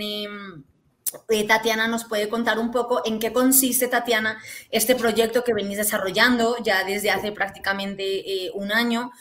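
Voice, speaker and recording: A woman's voice: a little high, fairly bright tone. A woman hosting a talk program, upbeat and emphatic, with frequent fillers. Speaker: host.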